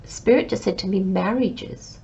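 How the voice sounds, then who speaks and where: A woman giving a tarot reading to her listeners, talking in a slow, reflective and gently encouraging way while she has a cold. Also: medium pitch, vocal fry, highs cut off. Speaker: tarot reader.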